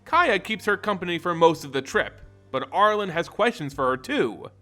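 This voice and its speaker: Animated, bright-voiced male storyteller over music. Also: a little high, moderate pace. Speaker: lore video narrator and channel host. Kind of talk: dramatic scripted story narration.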